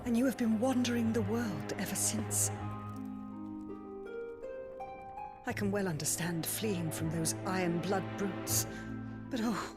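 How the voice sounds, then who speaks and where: An actress playing a gentle, motherly, middle-aged widowed noblewoman in a video game, refined and tender. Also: medium pitch, moderate pace, over loud music, lightly acted. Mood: warm, concerned. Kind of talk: dramatic video game cutscene acting.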